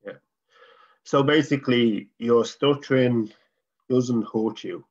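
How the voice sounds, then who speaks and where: Irish guy stuttering on a video call, slow and gravelly, kinda dull lo-fi sound. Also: mid-range voice, darkish tone. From Ireland. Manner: stutters, with repeated sounds and blocks. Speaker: guest. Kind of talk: casual conversation. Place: home room.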